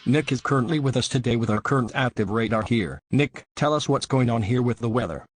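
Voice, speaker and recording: A man's voice: medium pitch, expressive, fairly quick, slightly rough, no echo, soft background music. A man playing a local television news anchor in a scripted newscast. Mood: businesslike. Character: male local TV news anchor introducing weather.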